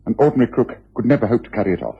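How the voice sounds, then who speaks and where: An actor playing a shrewd, self-assured gentleman detective with an upper-class English accent, precise and theatrical, in a radio drama. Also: mid-range voice, animated, racing, heavily acted. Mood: decisive. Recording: muffled, rough old radio recording.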